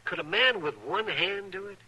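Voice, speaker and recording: An actor playing a fussy, kindly frontier town doctor in a radio western, hesitant but persuasive. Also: male voice, high-pitched, moderate pace, bright, forceful tone, a little hiss or hum, low-quality recording. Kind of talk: 1950s radio western acting. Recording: thin old radio broadcast recording.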